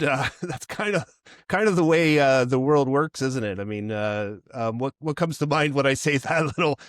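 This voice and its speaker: A man's voice: mid-range voice, no room sound, clean studio sound. Chatty North American man hosting. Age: 40s or older. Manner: friendly, jokey, chatty with laughs. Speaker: podcast host. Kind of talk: casual interview.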